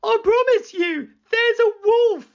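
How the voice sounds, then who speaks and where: Woman acting a shrill, dramatic shepherd boy. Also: slow pace, lo-fi sound, heavily acted. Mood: desperate pleading. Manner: exclamatory, dramatic. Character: bored, mischievous young shepherd boy crying for help.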